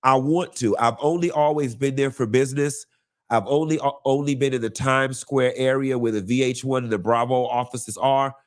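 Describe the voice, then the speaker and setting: A man's voice: steady tone, normal speed. Sassy drawling gossip host, congested man. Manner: sassy, drawling, calls listeners honey and baby; swoops high on greetings and emphasis. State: congested from a cold. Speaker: host of a live gossip show. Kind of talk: casual campy gossip monologue.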